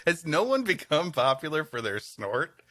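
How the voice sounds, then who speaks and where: A man's voice: a little high, big pitch swings, moderate pace, pushed and bright, no room sound. A man co-hosting a podcast with casual comic banter and mock-argumentative quips. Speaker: podcast co-host.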